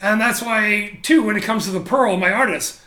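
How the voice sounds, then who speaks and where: Bright, high-voiced man telling stories. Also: normal speed, reverberant. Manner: animated, candid, swears casually, frequent restarts. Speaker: interview guest, photographer. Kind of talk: casual conversational storytelling.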